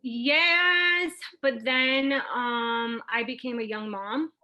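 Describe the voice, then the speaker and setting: Bright-voiced New woman, candid and informal. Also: a little high, drawn-out words, lo-fi sound. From Puerto Rico and Brooklyn, New York. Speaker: teacher, interview guest. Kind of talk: personal story told in an interview.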